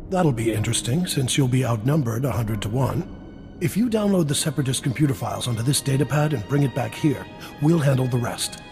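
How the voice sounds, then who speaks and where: A gruff underworld boss talks with a creaky, gravelly edge. He sounds wry and harried, in scripted video game dialogue with clear music behind him. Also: mid-range voice, lively intonation, a little fast. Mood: businesslike.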